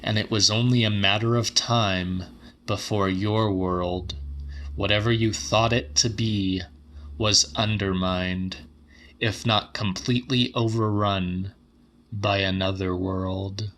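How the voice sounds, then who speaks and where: A man narrating literary horror as a dramatic audiobook, measured, deliberate and grave. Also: fairly low pitch, steady tone, a bit bright.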